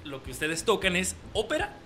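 A man's voice: high-pitched, wildly swooping pitch, fairly quick, bright, forceful tone, slight background noise. A man with a Mexican accent hosting a casual radio interview, informal and repeating phrases for emphasis. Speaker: radio show host.

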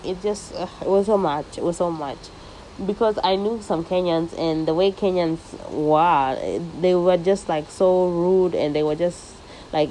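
A young woman hosting her own podcast, talking casually and lively and dropping Swahili slang into her speech. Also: fairly low pitch, a little hiss or hum, low-quality recording. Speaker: podcast host. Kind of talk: casual solo podcast monologue. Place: living in the United States.

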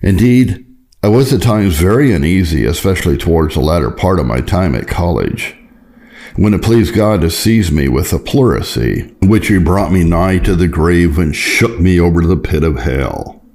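A man reading an old religious memoir aloud as an audiobook narrator, in a solemn, measured and formal way. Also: low voice, expressive, darkish tone. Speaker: audiobook reader. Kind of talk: scripted reading of a Puritan memoir.

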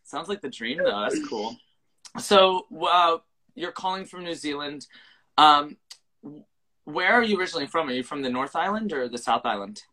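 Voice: medium pitch, animated, moderate pace, sharp tone. A podcast co-host interviewing a guest in a chatty, easygoing manner. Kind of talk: casual interview.

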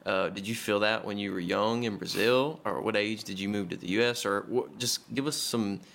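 Gravelly low-voiced podcast host. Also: male voice, steady tone, normal speed, a bit bright. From Memphis, USA. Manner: joking, chatty. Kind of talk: casual podcast chat.

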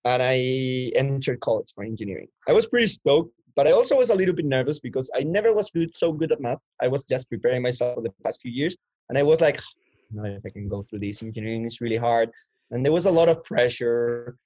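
A man's voice: mid-range voice, lively intonation, fast talker, a little mellow, no echo. A man in his twenties from Chile, telling his life story as an interview guest in a rambling way with many restarts. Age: 20s. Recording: dull lo-fi dry sound.

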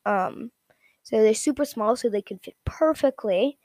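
A woman with a fairly high voice talks through products off the cuff. Her delivery is informal and halting, and she often repeats herself. The sound is close and dry. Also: a little mellow, no echo. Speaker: product reviewer. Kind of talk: casual, unscripted product rundown.

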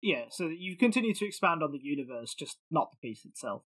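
Higher-pitched male podcast interviewer. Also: very animated, a little fast, fairly bright tone. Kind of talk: conversational interview.

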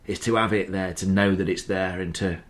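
A man's voice: low voice, fairly even intonation, a little fast. A man in casual podcast chat, talking freely and swearing now and then. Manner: chatty, swears freely, acts out quotes. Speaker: podcast co-host. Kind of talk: casual chat recapping a TV episode.

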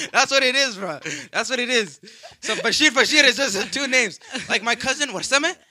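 Animated, laughing young man. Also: extremely high pitch, normal speed, no echo, clean studio sound. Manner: laughing, slangy, animated storytelling. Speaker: podcast co-host. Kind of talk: casual chat.

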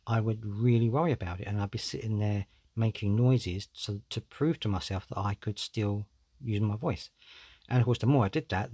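A man's voice: lowish voice, a little mellow, husky, no room sound. A man, hosting a solo podcast in a candid, conversational way, often saying "you know". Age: 40s. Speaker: podcast host.

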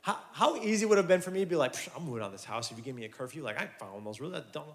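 Casting: man in his 30s, youth preacher, talks a bit higher than most men, animated and chatty delivery. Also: very fast. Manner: animated, conversational preaching with asides. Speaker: youth pastor. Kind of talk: sermon to young people.

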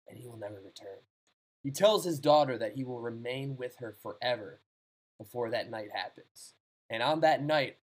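A man's voice: medium pitch, husky, poor audio. A man in casual chat, telling short ghost stories in a loose, jokey way. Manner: loose, jokey, swears freely. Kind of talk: casual podcast chat, storytelling.

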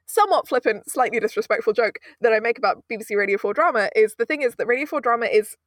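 A woman's voice: fairly high pitch, animated, fast, fairly bright tone, dry recording, clean studio sound. A woman speaking as an interview guest, effusive and emphatic, often wandering off into asides as she talks. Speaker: guest, audio drama producer. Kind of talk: enthusiastic interview conversation.

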